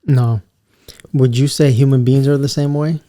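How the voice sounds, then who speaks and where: A man's voice: medium pitch, normal speed. A man with a New York accent, co-hosting a podcast in casual chat and putting on other accents as he tells stories. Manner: animated storyteller, mimics accents, says bro. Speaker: podcast co-host.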